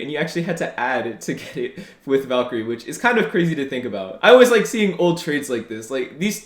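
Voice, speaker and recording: A man's voice: fairly high pitch, fairly bright tone. A nineteen-year-old man vlogging casually as a YouTuber, rambling and candid with many fillers and restarts. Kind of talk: casual vlog commentary. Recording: roomy echo.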